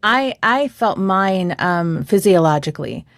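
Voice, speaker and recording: Middle-aged woman, voice coach, animated and laughing, dry close sound. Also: on the low side, unhurried, gravelly, dry recording. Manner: animated storyteller, frequent laughter and filler words. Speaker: podcast co-host, voice coach. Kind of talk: casual podcast chat.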